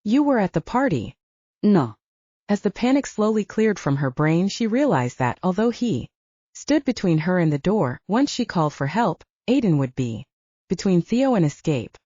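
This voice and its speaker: Expressive mellow woman narrating fiction. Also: fairly low pitch, normal speed, no room sound, highs cut off. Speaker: audiobook narrator. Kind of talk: scripted narration of a romantic thriller novel.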